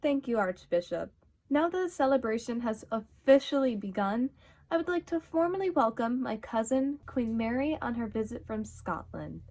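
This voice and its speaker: Woman as courteous queen, high-pitched, regal, ceremonious, 1940s radio play style. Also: lively intonation, moderate pace, a little mellow, slight background noise. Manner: formal, ceremonious. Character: regal, courteous queen presiding over a feast.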